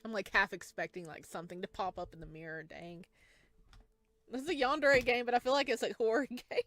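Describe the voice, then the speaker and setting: A woman playing a visual novel, reading its narration aloud and adding informal, giggly asides of her own. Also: lowish voice. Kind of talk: game playthrough, reading aloud with chatty reactions. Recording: music behind the voice. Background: game music.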